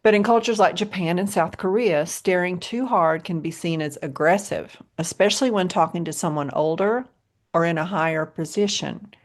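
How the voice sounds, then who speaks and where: Podcast co-host, American woman, lowish voice, easygoing banter. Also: normal speed. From United States. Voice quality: low voice. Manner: chatty, laughs often, puts on voices for examples. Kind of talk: casual chat.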